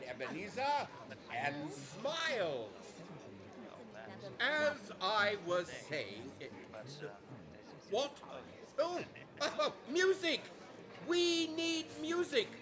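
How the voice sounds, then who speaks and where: A man playing a jolly, warm-hearted older gentleman host in a radio drama, hearty and theatrical. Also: sits high, wildly swooping pitch, drawn-out words, pauses often, a crowd in the background, full character voice. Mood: jubilant, festive. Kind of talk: stage-style radio drama acting.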